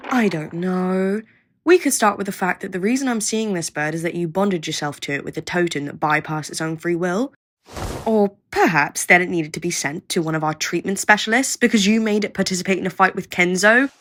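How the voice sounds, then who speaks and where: A woman's voice: lowish voice, fairly quick, a bit bright, slight background noise, natural voice. A woman acting a snarky, impatient scientist with a blunt, sarcastic manner in an audio roleplay. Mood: annoyed, sarcastic. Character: snarky, impatient female scientist, grudgingly welcoming.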